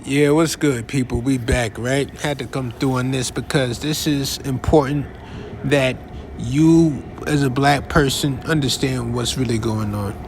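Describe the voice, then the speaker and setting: A man hosting a podcast, giving casual commentary in a conversational, halting way with restarts and asides. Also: medium pitch, fairly even intonation, a little hiss or hum. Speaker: podcast host.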